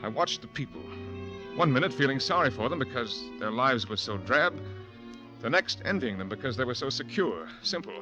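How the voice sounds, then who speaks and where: A young actor playing a guilty, panicking husband who narrates his own story in a halting, stammering way, in 1940s radio drama style. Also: medium pitch, expressive, music in the background, natural voice. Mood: tense. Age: young adult. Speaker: narrator and lead.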